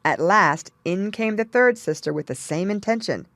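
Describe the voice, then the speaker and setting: A woman's voice: mid-range voice, animated, moderate pace, fairly bright tone, dry recording, studio quality. A woman reading a fairy tale as a storyteller, in scripted narration.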